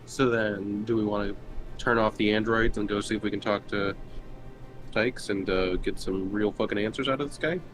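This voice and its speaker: A man's voice: on the low side, steady tone, normal speed, music in the background, poor audio. A man chatting casually as a player in a tabletop roleplaying game. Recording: loud background noise.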